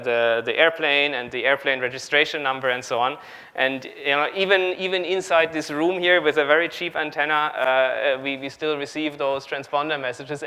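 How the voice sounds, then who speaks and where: A man's voice: medium pitch, sharp tone. A man in his thirties giving a live technical demonstration as a conference speaker, hesitantly thinking aloud as he works. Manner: hesitant, thinking aloud while operating a laptop. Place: large auditorium stage at a conference. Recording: clear background hiss.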